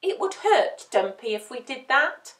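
Woman as a serious, slow-spoken puppy boy. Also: high-pitched, sing-song, fairly bright tone, reverberant, far from the mic, full character voice. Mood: earnest. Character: serious, thoughtful young male dachshund puppy.

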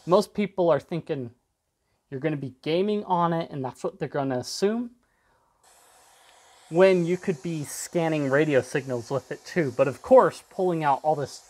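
Rambling man with a higher-pitched voice. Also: moderate pace, darkish tone. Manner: rambling, trails off mid-thought. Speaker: tech video creator demonstrating a device. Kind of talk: casual tech demo, off the cuff.

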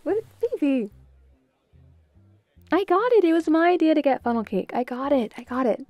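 A woman voicing a bubbly, playful, affectionate young girlfriend in an intimate audio roleplay, with a giggly, breathy, coaxing manner. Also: high-pitched, lively intonation, normal speed, no echo, dull audio. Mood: playful, grateful.

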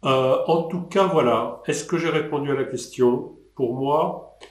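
An older man answers questions from his desk in an online session, speaking at an ordinary pitch with a hesitant, rambling flow full of restarts. His webcam picks up a roomy echo. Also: a little slow. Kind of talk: live online Q&A. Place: indoor room at a desk.

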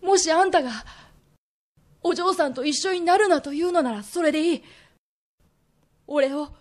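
A woman's voice: very high voice, normal speed. A woman playing a remorseful, earnest young merchant in a radio drama, telling his story as an emotional confession. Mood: desperate pleading. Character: reformed young merchant man, earnest, remorseful, pleading son.

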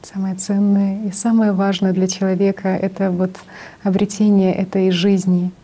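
A young woman interviewing a guest in a studio, speaking softly and agreeably. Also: mid-range voice, little pitch movement, normal speed.

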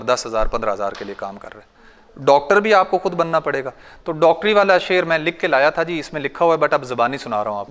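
Punjabi-accented male farmer-trainer in his thirties, chatty and anecdotal, clear, resonant voice, long hall reverb. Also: a little high, a bit bright. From Pakistan. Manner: conversational, anecdotal, recites couplets and quotes elders. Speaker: goat farmer giving a workshop talk. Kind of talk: informal training talk. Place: large bright training hall with a seated audience.

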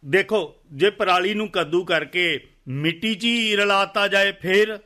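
A man's voice: high-pitched, unhurried, pushed and bright. A man playing an educated village secretary in a radio play, polite, patient and reasoning, with a Punjabi accent.

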